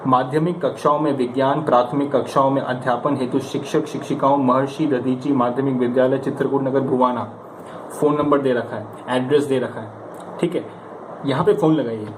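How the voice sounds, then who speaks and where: A man's voice: medium pitch, steady tone, fairly quick. An Indian man giving an informal motivational lesson as a coach, in a conversational, emphatic and coaxing manner. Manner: conversational, emphatic, coaxing, with rhetorical questions and acted-out examples. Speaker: stammering coach giving practice advice. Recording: echoey room with clear background noise.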